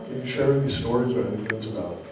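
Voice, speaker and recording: A man's voice: mid-range voice, fast. A man in an audience politely asking a question at a live event. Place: indoor hall at a live event. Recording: echoey hall, distant mic, clear noise.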